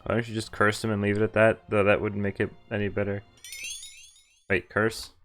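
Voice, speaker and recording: A man's voice: fairly low pitch, monotone, moderate pace, fairly bright tone. A man streaming a game, reading a visual novel aloud and adding playful, chatty commentary that wanders into asides. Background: game music.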